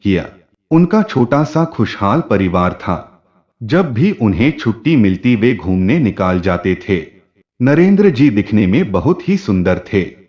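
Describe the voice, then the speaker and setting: A man with a fairly low voice tells a scripted story. His intonation moves up and down a great deal. The recording is dull and lo-fi. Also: big pitch swings, measured pace. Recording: dull, muffled lo-fi audio.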